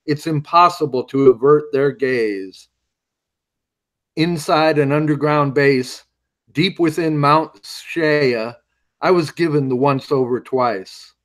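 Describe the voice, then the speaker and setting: A man playing a wry pulp hero who tells his story in a dramatic, hardboiled way, in an audio drama. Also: on the high side. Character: wry male masked hero recalling his alien abduction.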